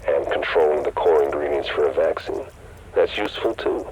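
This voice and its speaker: A man voicing a calm, calculating rogue leader who speaks persuasively and matter-of-factly in a game cutscene. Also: very deep voice, brisk. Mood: cool, persuasive. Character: scheming male rogue leader, cool and calculating. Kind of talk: video game cutscene dialogue. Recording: game audio with noisy ambience.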